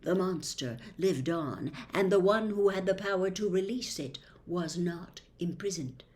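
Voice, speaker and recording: Lady doing a hushed secretive young guy's ghost in a diary, low and whispery, smooth talker. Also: a little slow, close to an everyday voice. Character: young male phantom in a diary, secretive and persuasive.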